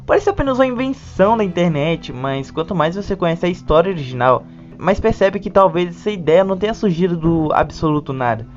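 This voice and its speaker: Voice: mid-range voice, lively intonation, brisk. A narrator with a Brazilian Portuguese accent delivering a scripted video essay with informal touches. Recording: dull lo-fi sound, music behind. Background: background music.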